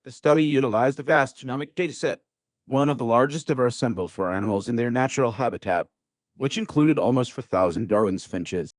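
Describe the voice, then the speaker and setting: A man's voice: mid-range voice, lively intonation, fairly quick, dry recording, studio quality. A man reading a scripted academic passage aloud as an exam practice model.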